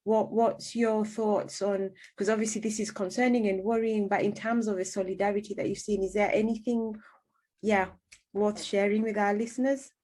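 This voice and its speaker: A woman's voice: medium pitch, fairly even intonation, moderate pace, warm tone. A woman hosting a radio interview in a conversational way, often rephrasing her questions as she goes. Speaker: radio host.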